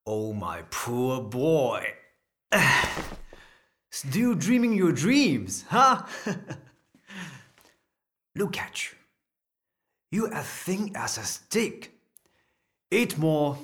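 An actor playing a kindly older clerk in a radio drama, teasing and indulgent, with sighing, drawn-out speech. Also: mid-range voice, sing-song, fairly bright tone, full character voice. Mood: fond teasing.

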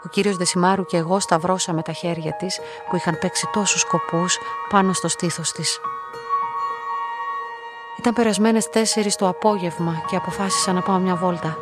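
A woman's voice: lowish voice, fairly even intonation, natural voice. Introspective young woman played by an actress over music. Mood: reflective. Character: young lonely woman, quietly curious laboratory employee narrating her story. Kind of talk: literary radio play narration and dialogue.

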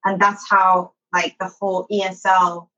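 A woman's voice: mid-range voice, little pitch movement, measured pace, fairly bright tone, lo-fi sound. A woman from Somalia who moved to London, chatting informally as an interview guest and telling her own story. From born in Somalia, moved as a child to Saudi Arabia, then London. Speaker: interview guest, online English teacher. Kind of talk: casual personal storytelling in an interview.

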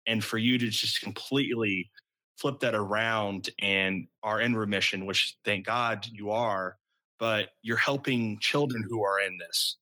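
Bright-voiced male interviewer, halting. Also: on the low side, low-quality recording. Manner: halting, restarts phrases. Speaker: interviewer, host. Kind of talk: conversational interview.

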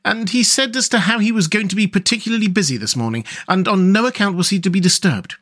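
A man's voice: high-pitched, animated, fast, a bit bright, pitched above the performer's own voice. A man voicing a respectful, eager young hedgehog boy with humble, rustic speech in a storybook reading. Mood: respectful, informing. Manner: deferential, rustic ungrammatical speech.

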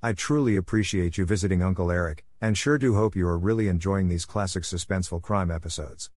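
A man's voice: low-pitched, quick pace, darkish tone, no room sound, clean studio sound. A man hosting a classic radio series, reading a folksy scripted introduction. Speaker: online host introducing old radio episodes.

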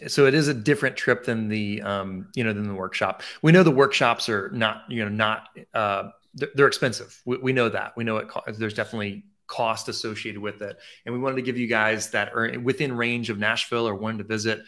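Upbeat bright-voiced man in his thirties. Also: on the low side, fairly even intonation, a little fast. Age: 30s. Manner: upbeat, chatty, frequent restarts and fillers. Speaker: photography mentor and host. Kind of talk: casual livestream chat.

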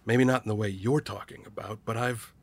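Husky, guilt-ridden loner man. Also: fairly low pitch, fairly even intonation. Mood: subdued, regretful. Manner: hesitant, confessional, halting. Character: weary, guilt-ridden small-town loner man, secretive, feared by kids. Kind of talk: naturalistic audio drama acting.

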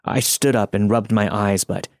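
A man's voice: lowish voice, fairly even intonation, normal speed, darkish tone, dry recording, clean studio sound. A man reading a scripted first-person spooky story as a podcast narrator. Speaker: podcast host reading listener stories.

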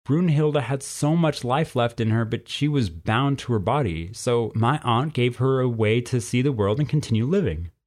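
A man's voice: medium pitch, normal speed, few pauses, darkish tone, natural voice. A man voicing a stern, plainspoken female cougar with folksy country phrasing in a storybook. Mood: wistful, explaining. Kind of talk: storybook character voice.

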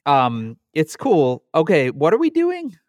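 Slow-talking, lively-pitched man bantering. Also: dry recording, studio quality. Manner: slow, teasing banter with ums and laughs. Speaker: podcast co-host. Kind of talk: casual chat.

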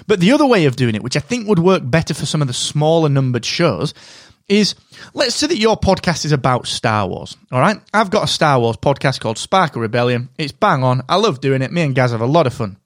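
A man hosting a solo podcast, giving direct, energetic advice while sounding slightly nasal from a cold. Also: a little high, brisk, no room sound, studio quality. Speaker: podcast host.

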